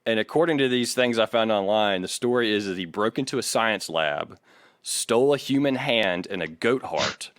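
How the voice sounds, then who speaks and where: A man with a fairly low voice, recorded close and dry. He hosts a casual podcast, laying out background in a chatty, explanatory way with a wry edge. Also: expressive, moderate pace, a bit bright, no room sound. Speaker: podcast host.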